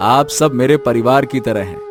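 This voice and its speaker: A man voicing a kind, humble, hardworking young village son-in-law in a moral-story cartoon, with drawn-out, emphatic delivery. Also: mid-range voice, over music, close to an everyday voice. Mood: kind, reassuring.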